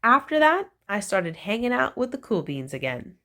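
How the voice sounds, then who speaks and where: A woman reading a children's picture book aloud, with slow, playful storytelling and animated emphasis. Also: fairly high pitch.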